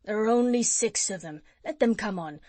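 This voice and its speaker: A woman's voice: medium pitch, lively intonation, moderate pace, mellow, no room sound, close to an everyday voice. Woman doing a scrappy talking dog, storybook, eager, scornful, dry close sound. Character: brave, scrappy male dog, eager for a fight.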